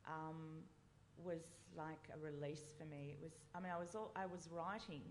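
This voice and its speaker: A woman with a lower, darker voice speaks earnestly on a panel. She leans into her points with feeling. A faint background hum stays under her words. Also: normal speed. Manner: earnest, animated when moved. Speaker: panel poet. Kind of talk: panel discussion.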